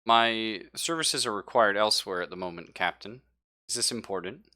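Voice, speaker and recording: A man's voice: lowish voice, normal speed, pushed and bright. A man voicing a dutiful but evasive female android crew member in a tabletop game, speaking formally in clipped, detached phrases.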